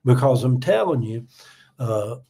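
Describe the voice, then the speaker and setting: A man's voice: mid-range voice, animated, measured pace, creaky, warm tone. A seventy-year-old man, talking in a casual livestream, reading out viewer comments and giving earnest, repeated warnings with lots of ums and uhs. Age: 70s. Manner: earnest, repetitive, warning tone, many fillers. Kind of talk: casual political livestream talk.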